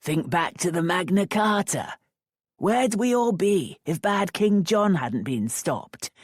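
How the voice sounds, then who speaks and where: A woman's voice: on the low side, animated, slow, dry recording, clean studio sound, voice pushed up. A woman voicing a grey-haired older man with a pipe who lectures and argues persistently. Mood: lecturing. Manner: lecturing, argumentative.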